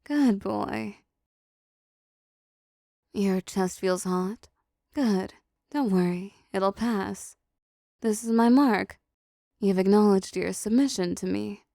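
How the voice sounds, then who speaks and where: A woman voicing a possessive, seductive female demon in an intimate audio roleplay, softly teasing, dominant and doting. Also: mid-range voice, slow pace, vocal fry, long pauses, no echo, clean studio sound.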